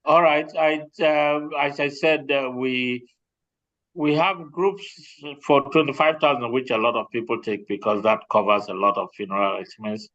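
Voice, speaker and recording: A man with a medium-pitched voice speaks as an insurance representative in an informal question-and-answer session. His delivery is halting, with repeated phrases. The audio is dull and lo-fi, like an online group call. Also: fairly even intonation, fairly bright tone. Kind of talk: informal explanatory Q&A presentation.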